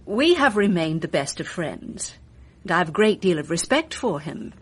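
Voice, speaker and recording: A woman's voice: on the low side, very animated, moderate pace, breathy edge. A woman playing a poised, evasive film actress in a radio mystery drama, polite, guarded and arch. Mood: guarded, cool.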